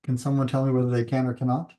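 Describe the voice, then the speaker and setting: An older man born in Italy, giving a measured webinar lecture over a video call, with frequent restarts and fillers. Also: mid-range voice, little pitch movement, mellow. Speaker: guest lecturer.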